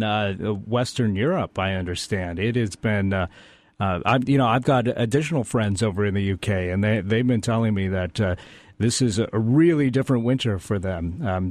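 A man's voice: on the low side, darkish tone, no room sound. A man from the radio station chatting on air, hesitant and full of fillers. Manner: hesitant, many fillers, slow and measured. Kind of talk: casual on-air chat.

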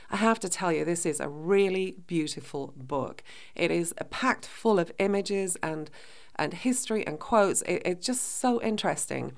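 Hoarse, gravelly female radio host. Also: lowish voice. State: hoarse, recovering from bronchitis. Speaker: radio talk show host. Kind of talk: radio interview hosting.

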